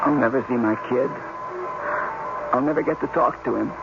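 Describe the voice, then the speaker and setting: A man's voice: mid-range voice, moderate pace, creaky, natural voice. Actor as an anxious, doom-laden male scientist, lo-fi. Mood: gloomy. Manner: pleading, gloomy, brooding. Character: anxious, fatalistic male scientist stranded in space. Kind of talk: old-time radio drama acting. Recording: dull lo-fi radio recording, loud music.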